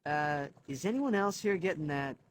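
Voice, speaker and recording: An actor playing a doubtful young wisecracking hero in a game cutscene, casual and sarcastic. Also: male voice, on the high side, expressive, moderate pace, slight background noise, lightly acted. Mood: skeptical. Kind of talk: video game cutscene acting.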